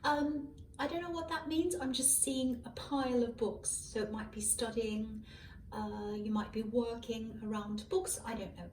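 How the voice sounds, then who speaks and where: A hoarse woman with a fairly high voice, rambling through a tarot reading and correcting herself as she goes. She sounds distant from a misbehaving microphone in an echoey room, with faint traffic behind her. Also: steady tone, a little slow, darkish tone. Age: adult. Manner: rambling, musing with many ums and self-corrections. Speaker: tarot reader. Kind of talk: casual tarot reading to camera. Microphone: malfunctioning microphone at a distance. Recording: echoey room, far from a faulty mic. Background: faint passing cars.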